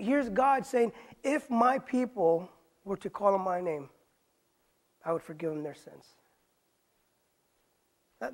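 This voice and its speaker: A middle-aged male pastor preaching a sermon on a church stage, earnest and pleading, dropping soft for quoted lines and rising for emphasis. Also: high-pitched.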